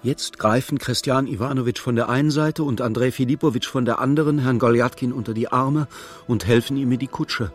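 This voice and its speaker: An actor narrating a dark literary radio play as a solemn, measured storyteller. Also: male voice, mid-range voice, soft background music, lightly acted. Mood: grave, urgent.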